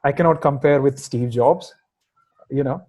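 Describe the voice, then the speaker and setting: A man's voice: medium pitch, moderate pace, darkish tone. A man from India with a mixed Indian and American accent, answering interview questions on a video call with many fillers and emphatic asides. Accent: Indian with some American. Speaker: guest software engineering manager.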